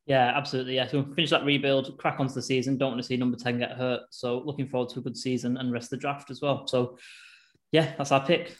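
A man's voice: mid-range voice, little pitch movement, fast talker, no echo. A man from a team podcast, eagerly breaking down a draft pick in long, rambling runs. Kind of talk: enthusiastic sports analysis.

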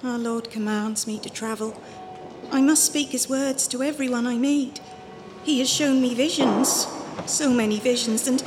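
A woman's voice: a little high, moderate pace, music in the background, lightly acted. A woman acting in an audio drama as a fervent, fearful wandering visionary, speaking with earnest, pious emotion. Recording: reverberant hall, faint background noise.

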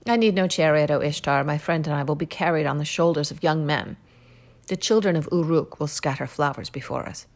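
A woman reading aloud, voicing a proud, defiant young male hero-king who declaims loudly. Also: low voice, brisk. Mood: boastful.

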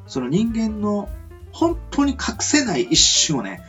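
A man hosts a homemade radio show with a very animated, swinging intonation. He speaks politely and earnestly, feeling for his words as he muses. The sound is a little muffled and lo-fi, with guitar music underneath. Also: sits high, takes it slowly. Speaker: radio host. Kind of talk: rambling reflective monologue for an amateur radio show. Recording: dull lo-fi audio.

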